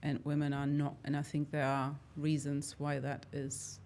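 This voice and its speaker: Woman with a low voice, takes her time, lots of uhs and ums. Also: completely flat delivery, darkish tone. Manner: measured, hesitant, with fillers. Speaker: biologist in a discussion. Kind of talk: casual discussion.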